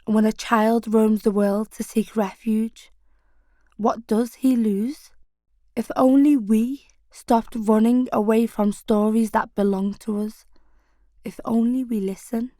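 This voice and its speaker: Slow, solemn woman poet reciting. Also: a little high, steady tone, mellow, no echo, studio quality. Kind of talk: spoken-word poetry reading.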